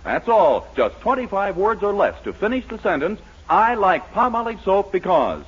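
A man's voice: high voice, sing-song, moderate pace, slightly rough. A man reading an advertisement as a 1940s radio announcer. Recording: muffled 1940s radio recording.

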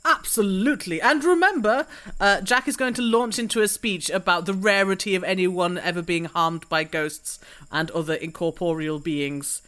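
A woman's voice: fairly low pitch, moderate pace, fairly bright tone. A woman playing a tabletop roleplaying game, narrating her character in a theatrical, learned manner. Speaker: player.

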